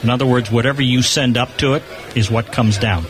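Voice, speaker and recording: Lower-voiced American man over crowd noise. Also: brisk. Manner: enthusiastic. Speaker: amateur satellite organization spokesman being interviewed. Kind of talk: informal explanatory interview. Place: convention hall exhibition booth. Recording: busy crowd noise behind, convention floor. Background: crowd chatter.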